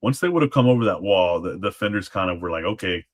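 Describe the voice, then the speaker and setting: A man speaking as an interview guest, explaining history casually in halting phrases with trailing asides. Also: deep voice, expressive. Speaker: guest, former Alamo guard.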